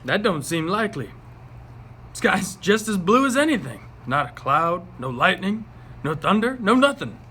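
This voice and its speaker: Guy playing skeptical small town neighbor, folksy, animated, amateur script reading, bit of background noise. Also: high voice, moderate pace, full character voice. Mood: puzzled, doubtful. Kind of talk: amateur read-aloud of a television script.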